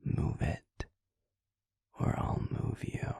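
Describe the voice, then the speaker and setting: A man playing a gruff, sardonic werewolf boyfriend in an intimate audio roleplay, half-whispering in a teasing, grudgingly fond drawl. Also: dark tone, dry recording. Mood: gruff, bossy. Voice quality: very deep, gravelly, breathy. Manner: slow teasing drawl with heavy sighs, dry sarcasm.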